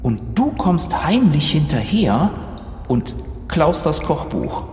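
A man's voice: on the high side, big pitch swings, slow pace, darkish tone, soft background music. A man improvising casual in-character dialogue as a player in a role-play adventure. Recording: roomy, reverberant, faint background noise.

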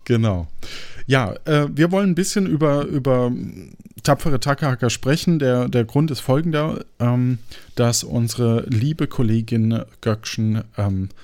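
A man's voice: medium pitch, unhurried, a little mellow. A man hosting a podcast and chatting casually about production work. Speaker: podcast host and game master.

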